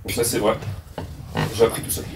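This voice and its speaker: A young football player revealing facts about himself in a casual guessing game, playful and teasing. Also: male voice, fairly quick, echoey room, far from the mic, a little hiss or hum. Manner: playful, teasing his audience.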